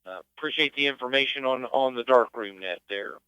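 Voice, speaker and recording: A man's voice: mid-range voice, brisk, bright, forceful tone, clean tone, no echo. A man acting as net control, casually moderating check-ins over amateur radio. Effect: amateur radio transmission. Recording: dull band-limited radio audio.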